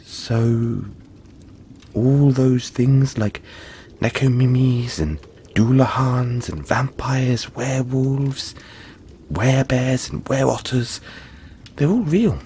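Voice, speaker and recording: A man playing a huge, gentle, cuddly yeti boy in an intimate audio roleplay, talking politely and haltingly to reassure the listener. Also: medium pitch, a little hiss or hum. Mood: amused, explanatory. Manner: soft-spoken reassurance, halting, polite and chatty.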